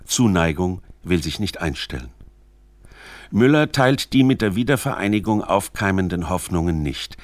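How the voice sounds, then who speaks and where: A man's voice: low voice, lively intonation, moderate pace, no echo. A man reading scripted narration for a literary radio documentary.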